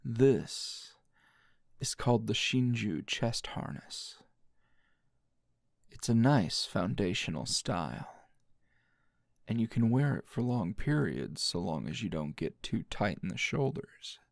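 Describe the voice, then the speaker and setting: Aizawa, slow gravelly teasing man playing a lover. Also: on the low side, sing-song, dry recording, clean studio sound, full character voice. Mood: tender, sultry. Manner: slow, breathy murmur slipping into whispers. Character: Aizawa, teasing, dominant, seasoned adult male anime hero, tender lover. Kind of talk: intimate ASMR roleplay.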